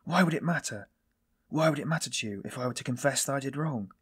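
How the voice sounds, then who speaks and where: A man playing a weary, self-loathing man in audiobook dialogue, confessing in a brooding, bitter, halting manner. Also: medium pitch, slightly rough, lightly acted. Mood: bitter despair.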